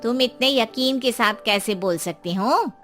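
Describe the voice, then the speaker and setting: A woman's voice: a little high, measured pace, a bit bright, soft background music. A woman voicing a sensible, mildly impatient neighbour in a dramatized story. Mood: questioning.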